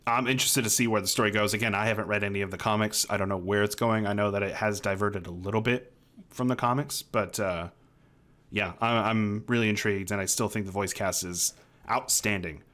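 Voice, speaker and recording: A man's voice: lowish voice, fairly even intonation, brisk, a bit bright. Casual man podcasting about screen entertainment. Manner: swears casually. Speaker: podcast co-host. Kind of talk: casual film and TV chat. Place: small home room, remote call.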